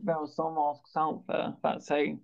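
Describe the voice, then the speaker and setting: A man with a stammer who speaks haltingly, repeating words and sounds as he hosts a conversational interview. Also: on the high side, completely flat delivery, gravelly. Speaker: interviewer and stammering awareness campaigner. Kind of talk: conversational interview on a video call. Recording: dull, lo-fi video call audio.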